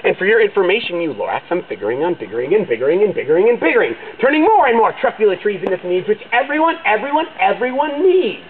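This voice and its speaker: A greedy, defiant factory boss yells loudly, his pitch shooting upward as he insists, with a man acting him out. His voice is raised and pushy throughout. The sound is thin and phone-like over steady background noise. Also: fast, hardly any pauses. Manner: loud, defiant yelling. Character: greedy defiant male factory owner, shouting. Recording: tinny phone-like line with loud noise.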